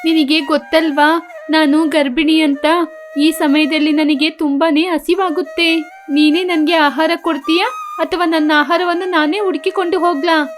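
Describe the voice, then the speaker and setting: Lady as a hungry complaining pregnant cow in a story cartoon, insistent, very high voice, faint music. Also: steady tone, normal speed, close to an everyday voice. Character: hungry, demanding pregnant talking cow, female. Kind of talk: acted children's moral story dialogue.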